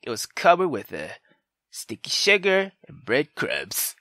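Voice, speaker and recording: A let's player narrating a visual novel aloud in an expressive storytelling manner. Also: medium pitch, measured pace, no echo, studio quality. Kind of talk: dramatic visual novel narration with brief reactions.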